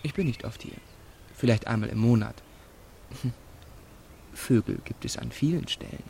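Evasive amateur ornithologist, radio crime play: mid-range voice, measured, faint outdoor ambience. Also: male voice, mid-range voice, wildly swooping pitch, creaky, dark tone, a little hiss or hum. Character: male amateur birdwatcher, precise and evasive under questioning. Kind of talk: German radio crime drama acting.

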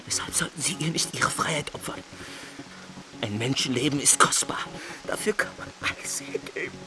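A man's voice: on the high side, moderate pace, natural voice, rough recording. A man playing an earnest, sentimental country doctor in a radio drama, speaking in an imploring, exclamatory way. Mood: urgent, imploring.

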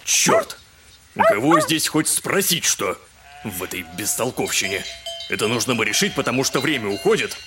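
An actor playing a pompous, fussy educated gentleman in an audio drama. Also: mid-range voice, wildly swooping pitch, moderate pace, over loud music. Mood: annoyed. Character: haughty, irritable educated liberal gentleman landowner.

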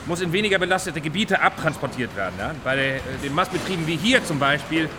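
An actor playing a nutrition scientist in a radio drama, explaining didactically with hesitations and little checking tags. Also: male voice, on the high side, lively intonation, fast, lightly acted. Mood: emphatic, explaining. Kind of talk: naturalistic radio drama in reportage form. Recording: noisy outdoor-like ambience behind the voice.

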